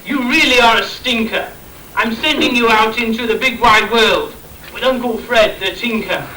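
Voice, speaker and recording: Scolding pantomime dad, acted by a man, piercingly high and bright, theatrical rhyming delivery, amateur stage. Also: moderate pace, a little hiss or hum. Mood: exasperated. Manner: theatrical, declaiming in rhyme. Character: exasperated, scolding father of a bubble-obsessed boy. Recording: distant, echoey amateur stage recording.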